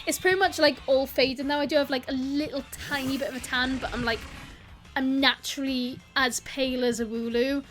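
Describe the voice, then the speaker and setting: A woman's voice: sits high, steady tone, a little fast, a bit bright, soft background music. A young woman with a South Welsh accent, chatting casually with viewers on a live stream. She talks in a rambling way, giggles often and greets people as they arrive. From South Wales. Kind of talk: casual live-stream chat while gaming.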